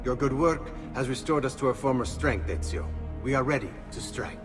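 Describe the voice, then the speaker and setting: A man playing a measured, commanding leader of thieves in a video game. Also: mid-range voice, music in the background, lightly acted. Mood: resolute. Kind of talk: video game cutscene acting.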